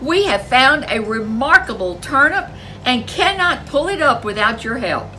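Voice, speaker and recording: A woman's voice: high-pitched, very animated, normal speed, sharp tone, distant mic, slight background noise, pitched above the performer's own voice, full character voice. A woman voicing a determined old farmer's wife in a folk tale, speaking emphatically and giving orders. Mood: urgent, commanding. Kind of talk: storybook character voice.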